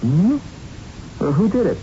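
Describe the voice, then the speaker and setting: An actor in a radio drama playing a confident, eager young boxer with casual, streetwise talk. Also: male voice, high voice, big pitch swings, unhurried, mellow. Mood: curious. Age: young adult. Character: cocky, eager young male boxer Bobby Barlow. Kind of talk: 1970s radio drama acting. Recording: muffled, hissy old radio broadcast recording.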